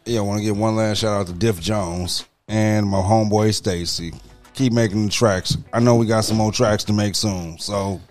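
Deep low voice guy in his 40s, laid back podcast shout-outs, home studio mic. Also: fairly even intonation, moderate pace, soft background music. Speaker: podcast co-host. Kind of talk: casual podcast chat. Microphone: studio mic on desk stand, headphones.

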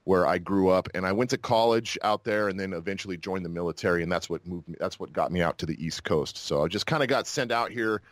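A low-pitched American man chats as an interview guest. He talks reflectively, feeling his way through sentences as he tells his story. The sound is dry and close. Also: quick pace, few pauses, no room sound. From Utah and New Mexico, United States. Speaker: podcast guest, actor and army veteran. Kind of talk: casual interview conversation.

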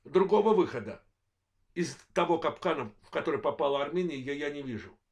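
Gravelly worn-out voice, guy in his 40s from azerbaijan doing a political rant on his channel, stop-start phrasing. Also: fairly high pitch, lively intonation, lo-fi sound. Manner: measured, halting delivery in short phrases. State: voice worn, apologizes for it. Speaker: channel host, political commentator. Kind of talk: monologue political analysis.

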